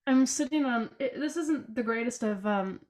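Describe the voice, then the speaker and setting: A young woman co-hosting a relaxed podcast, her voice stuffy and congested from a cold. She sits a little higher than most women in pitch and chats in an upbeat, rambling way, full of fillers. She is talking over a video call from her bedroom. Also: normal speed. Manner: chatty, upbeat, many fillers like "like". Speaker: podcast co-host. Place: bedroom at home.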